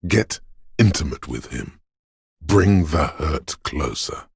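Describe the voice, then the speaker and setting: Extremely deep, gravelly, menacing inner voice. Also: male voice, lively intonation, takes it slowly, darkish tone, dry recording, studio quality, exaggerated voice. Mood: goading, aggressive. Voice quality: gravelly, husky. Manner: menacing, urgent, ominous. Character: paranoid, aggressive inner voice of male primal fear. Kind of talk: video game voice acting, second-person dramatic narration.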